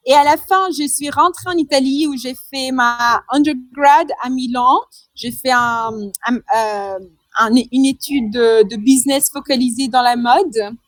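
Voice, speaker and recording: High-voiced hesitant young woman, dry sound. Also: a little slow, no echo. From Madagascar. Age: 20s. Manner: hesitant, many fillers and restarts, slips in English terms. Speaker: interview guest. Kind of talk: casual interview answers.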